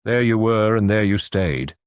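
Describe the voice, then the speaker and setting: A wary, indignant well-to-do woman, read by a man in his own low voice. She speaks clipped and guarded. The sound is close and dry. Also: expressive, a little slow, clean tone, no echo. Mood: grim.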